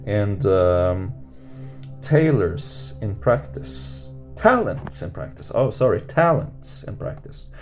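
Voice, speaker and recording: A man teaching meditation off the cuff, rambling with many fillers and restarts and lifting his pitch at the end of questions. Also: sing-song, slow. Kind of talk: unscripted teaching talk. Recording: music playing under voice. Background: guitar music.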